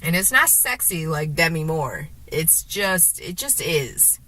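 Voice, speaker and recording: Woman, sore throat, gravelly deeper voice, slow, hesitant rambling, in a car. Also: lively intonation, pushed and bright. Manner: hesitant, many fillers and restarts. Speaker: vlogger. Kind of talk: rambling casual monologue while driving.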